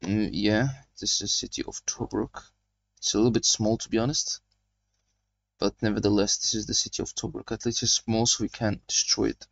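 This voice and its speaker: A man's voice: on the low side, level tone, normal speed, creaky, fairly bright tone, dry recording, dull audio. A young man hosting a gaming channel, chatting casually as he plays and reads mission briefings aloud. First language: not German. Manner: chatty, rambling, reading game briefings aloud. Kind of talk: casual game commentary.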